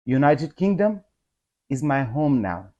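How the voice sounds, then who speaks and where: Slow, earnest man. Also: medium pitch, very animated, mellow, lo-fi sound. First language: not English. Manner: earnest, measured, rising to emphatic appeals. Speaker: vlogger. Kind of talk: personal vlog monologue.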